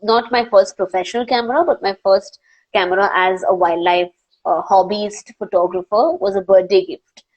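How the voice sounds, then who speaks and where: A woman's voice: mid-range voice, steady tone, moderate pace, darkish tone, muffled recording. A young woman from India with an Indian accent, a wildlife filmmaker answering questions in a live online interview, earnest and full of "uh" and restarts. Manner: earnest, many "uh" fillers and restarts. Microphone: video call.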